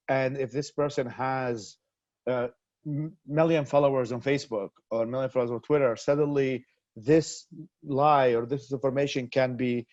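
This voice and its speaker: A man's voice: medium pitch, darkish tone, no echo. A man from Iraq speaks with earnest focus in an ordinary mid-range voice, circling back and repeating words as he builds each point. He sits close to his mic with no room sound. The video call audio is dull and lo-fi. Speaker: interview guest, activist. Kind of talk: conversational interview answers.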